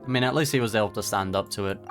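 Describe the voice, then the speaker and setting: A man's voice: fairly low pitch, very fast, music in the background. A man giving casual, laid-back reaction commentary on a video game as host.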